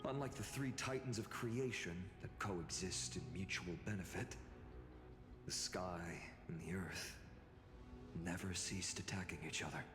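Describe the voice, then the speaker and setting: A scholarly male fantasy game character with a low-pitched voice. He speaks formally and with measured composure over clear game music. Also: a bit bright. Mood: composed. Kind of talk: scripted game dialogue.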